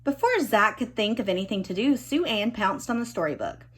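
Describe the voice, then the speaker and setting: A woman reading a children's storybook expressively, with dramatic emphasis and drawn-out key words. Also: a little high, expressive, breathy edge. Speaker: storybook reader.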